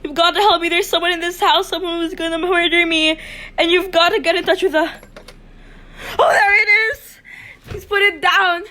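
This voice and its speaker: A high-voiced, wealthy invalid wife in a radio thriller. She is imperious and breathlessly anxious, a demanding woman who sounds terrified of being alone. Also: steady tone, normal speed, sharp tone, slight background noise. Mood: hysterical terror.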